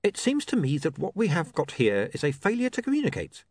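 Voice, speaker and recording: A man voicing a smooth-talking criminal boss who persuades with wry patience and quiet menace. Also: on the high side, wildly swooping pitch, fairly quick, dry recording, pitched above the performer's own voice. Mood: coaxing.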